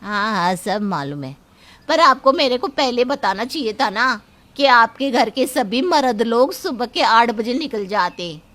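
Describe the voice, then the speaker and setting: A woman's voice: fairly high pitch, animated, unhurried, a bit bright. A woman voicing a harried, outspoken housemaid in a story, with colloquial complaining talk. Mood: flustered, grumbling.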